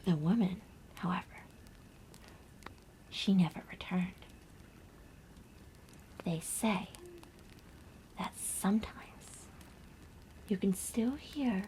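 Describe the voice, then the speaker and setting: A woman playing a gentle young girl on a camping trip in an ASMR roleplay, speaking softly and breathily with hushed, dramatic pauses. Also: medium pitch, a little hiss or hum, low-quality recording. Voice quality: soft, breathy whisper. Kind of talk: ASMR roleplay, soft whispered storytelling.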